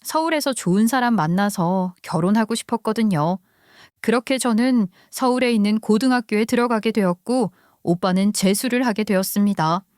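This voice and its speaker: Woman narrator, mid-pitch, even scripted reading, dry close mic. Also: normal speed, dry recording. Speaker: story narrator. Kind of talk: scripted first-person story narration.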